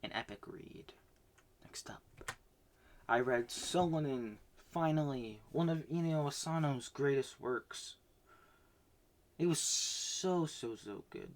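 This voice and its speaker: A man casually recapping the manga he read this month, rambling with many fillers and restarts, swinging between loud bursts and soft mumbles. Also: mid-range voice, slow pace, pauses often. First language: not Japanese. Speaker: manga reviewer.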